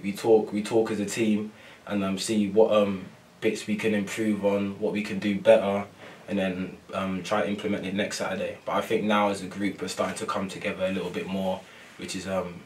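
A young male footballer talks in a low, even monotone, hesitating with ums and repeating himself. He sounds a little set back from the microphone in a press room. The delivery is soft and unhurried. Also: far from the mic, slight background noise, poor audio. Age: young adult. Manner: soft-spoken, many ums and repeated phrases. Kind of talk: post-match interview. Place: press room before a sponsor board.

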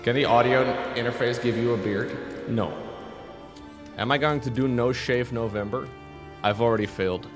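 A man's voice: medium pitch, steady tone, moderate pace, soft background music. A man streaming from home, bantering jokingly with chat while testing voice effects on a desk mic. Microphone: desk mic through an audio interface.

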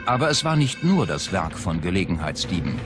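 A man's voice: deep voice, very animated, a little fast. A man reading scripted documentary narration. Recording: loud music under the voice. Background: prominent background music.

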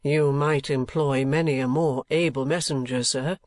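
Storybook young gentleman, acted by a man: eager, modest, formal and deferential, middle-pitched, dry sound. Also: steady tone, moderate pace, dry recording, close to an everyday voice. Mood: earnest. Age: young adult.

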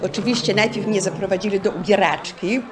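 An older woman tells casual anecdotes. Her pitch is ordinary, and other people are clearly audible around her. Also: fairly even intonation, fairly quick. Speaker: senior sharing memories. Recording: chatter of a group behind.

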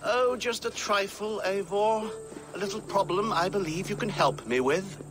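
An actor playing a smug, ambitious middle-aged abbot in a video game, jovial, unctuous and pompous. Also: male voice, high-pitched, normal speed, over music, lightly acted. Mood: wily. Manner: unctuous, pompous, savouring words. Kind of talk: video game cutscene acting.

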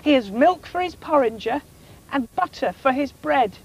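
A drowsy, sorry-sounding dairy cow from a children's storybook, played by a woman. She soothes and apologizes in a raised, lilting voice with lively swings in pitch. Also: a little slow, dull audio. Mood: apologetic. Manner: soothing, sheepish.

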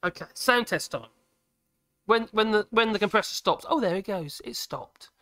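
A man, chatting casually on a live stream from his workshop, a bit husky today and prone to rambling and correcting himself. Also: animated, normal speed, pushed and bright. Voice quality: husky at the moment, fairly high voice. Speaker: woodworking channel host. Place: cluttered home workshop, indoors. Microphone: cheap USB desk microphone. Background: air compressor running at times.

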